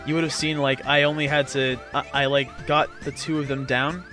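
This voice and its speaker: A man's voice: medium pitch, flat delivery, moderate pace, over music. A young man giving casual, rambling gameplay commentary with frequent stammers as he explains. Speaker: gamer, let's play commentator.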